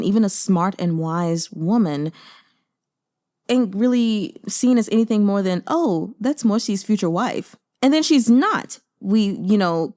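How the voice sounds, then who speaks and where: A woman with a mid-pitched voice gives casual book commentary. She talks informally, with slangy phrasing and an opinionated, emphatic delivery, like a host chatting directly to fellow readers. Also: darkish tone. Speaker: book reviewer hosting a reading discussion.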